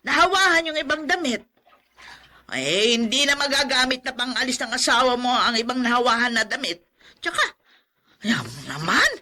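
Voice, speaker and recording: A woman's voice: a little high, expressive, normal speed, bright, forceful tone. A woman acting a fussy, middle-aged aunt in a radio drama, exclaiming and nagging in a melodramatic way. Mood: exasperated scolding. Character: scolding older aunt, fussy and quick to complain.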